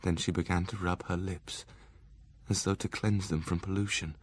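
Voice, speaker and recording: Low, husky male gothic narrator. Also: level tone, normal speed. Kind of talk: dramatic gothic story narration.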